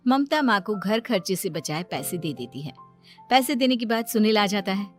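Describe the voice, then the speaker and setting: A woman's voice: mid-range voice, very animated, moderate pace, faint music behind. A woman reading a scripted story as its narrator.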